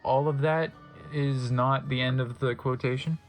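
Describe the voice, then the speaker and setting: Narrator for comic dramatic reading: mid pitch, theatrical, deadpan asides, light music bed. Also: measured pace.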